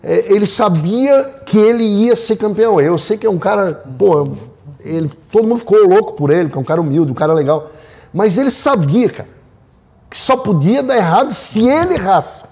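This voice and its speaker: A man's voice: high voice, expressive, moderate pace, a little mellow. A middle-aged Brazilian man in casual panel talk, often repeating his words and phrases. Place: small indoor studio set.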